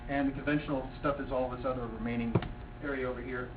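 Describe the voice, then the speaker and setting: A man speaks quietly from off-mic, pointing out sites on a map in short phrases. The audio is tinny, like a phone line, and the room hum is clear behind him. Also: medium pitch, little pitch movement, fast. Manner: quiet, brief, pointing things out. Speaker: finance director pointing out a map.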